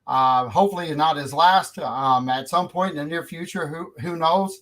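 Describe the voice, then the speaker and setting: Folksy male local radio host, casual, fillers, higher-pitched voice. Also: moderate pace, a bit bright. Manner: folksy, repetitive, many fillers. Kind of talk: community radio talk.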